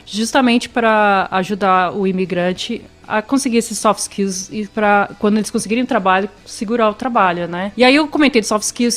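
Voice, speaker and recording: Chatty Brazilian woman telling stories. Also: mid-range voice. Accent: Brazilian Portuguese, São Paulo. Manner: chatty, storytelling, acts out quoted dialogue. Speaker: guest. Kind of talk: casual podcast conversation.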